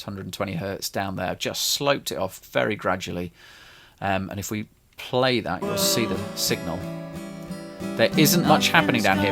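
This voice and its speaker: Gravelly man explaining a home mix. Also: fairly low pitch, fairly bright tone. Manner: loose, rambling explanation with frequent restarts and fillers. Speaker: home studio musician explaining his mix. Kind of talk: casual tutorial talk. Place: small home studio.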